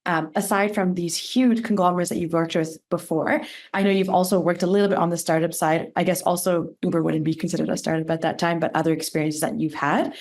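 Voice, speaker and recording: Upbeat woman podcast host, lower voice. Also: steady tone, fast, a little mellow. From India. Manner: upbeat, chatty, lots of "like" and "really". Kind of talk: interview hosting.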